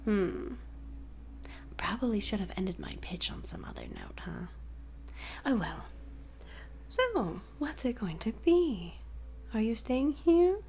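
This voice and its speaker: A woman's voice: on the high side, wildly swooping pitch, slow pace, pauses often, a little mellow, a little hiss or hum, exaggerated voice. A woman playing a smug whispering supervillain. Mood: teasing whisper. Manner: mostly whispered, teasing and scheming. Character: smug, playful female supervillain mind controller. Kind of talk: audio roleplay acting.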